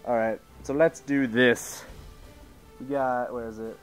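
Sick teen gamer from Idaho, sore throat, rambling home commentary on a headset, mid pitch. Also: male voice, steady tone, a little slow, faint music behind. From Idaho, USA. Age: teens. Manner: rambling, self-interrupting, reads out settings. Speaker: gaming YouTuber. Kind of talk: casual gameplay commentary. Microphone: headset mic with tangled cords.

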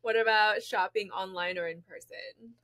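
A young woman from Colorado hosts a podcast chat in a small studio. She speaks at a medium pitch, close to the microphone. Her talk is informal and slangy, with "like" dropped in often. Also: steady tone, normal speed, fairly bright tone. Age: young adult. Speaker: podcast host. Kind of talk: casual chat interview. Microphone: close studio microphone on boom arm.

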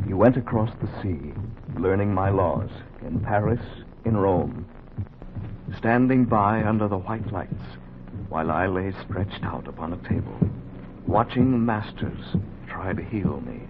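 An American actor voicing a human heart as a grave, poetic narrator in a 1940s radio drama. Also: male voice, low voice, animated, unhurried. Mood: solemn, lyrical. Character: the human heart, solemn male narrating presence. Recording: noisy, tinny 1940s radio recording.

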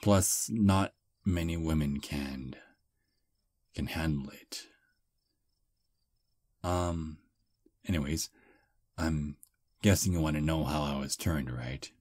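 A man playing a charming male vampire on a first date, soft, relaxed and self-deprecating, close to the mic in ASMR roleplay. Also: rumbling low, slow pace, pauses often, no echo, full character voice. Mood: calm.

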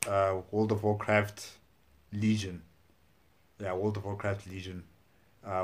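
A man in his thirties giving casual, hesitant reaction commentary, musing as he goes. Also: low voice. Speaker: reaction video host. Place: small plain room indoors. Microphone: clip-on lapel mic.

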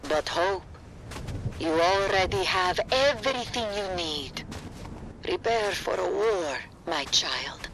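A woman's voice: lowish voice, lively intonation, slow, noisy background. A woman playing a powerful, theatrical seer in a video game, sassy and portentous in manner. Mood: grave, portentous. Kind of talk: scripted video game voice acting. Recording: loud game music behind the voice. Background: loud music.

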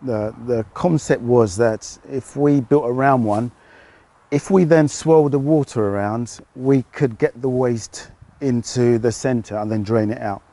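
A middle-aged British man, a fish farmer, explaining his work outdoors in a relaxed, hesitant way. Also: medium pitch, unhurried. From United Kingdom. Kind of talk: casual interview answers.

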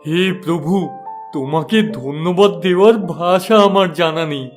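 A man's voice: fairly high pitch, moderate pace, soft background music, lightly acted. A man playing a pious, wronged holy hermit in a fairy-tale cartoon, solemn, sorrowful and earnest. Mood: grateful.

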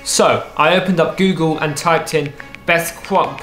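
A young man narrating a personal story in a vlog, lively and wry, with playful asides. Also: fairly high pitch, measured pace, echoey room, over music. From England. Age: young adult. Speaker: vlogger. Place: small room with a desk lamp, indoors.

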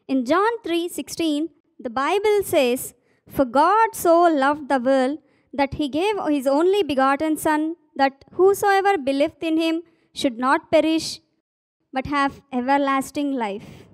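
A woman voicing a practical, caring grandmother in a children's puppet show. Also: high-pitched.

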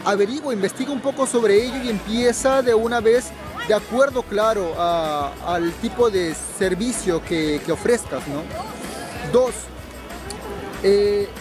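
Male travel vlogger, twenties, high-pitched, instructive and informal, recorded on city streets over music. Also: unhurried, a crowd in the background. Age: 20s. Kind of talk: informal advice vlog to camera. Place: outdoors in city square and narrow streets. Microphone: camera at arm's length.

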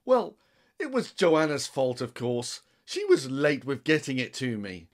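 An actor playing a frantic young male political advisor who shouts and shifts blame in a radio comedy. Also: medium pitch, big pitch swings, unhurried.

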